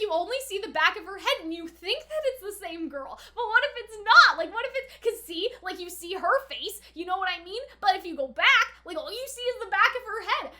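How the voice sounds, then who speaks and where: Female reaction host, high-pitched and bright, informal and speculative, talking through theories conversationally. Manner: informal, speculative, frequent "like" and "right?". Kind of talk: casual anime reaction and commentary.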